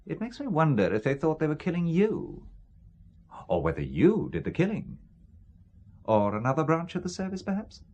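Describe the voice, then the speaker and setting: A man's voice: fairly high pitch, wildly swooping pitch, moderate pace, a little mellow, highs cut off. A man with a British accent playing a wary, sardonic businessman caught up in espionage, dry and questioning in an audio drama. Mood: sardonic, suspicious. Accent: British English.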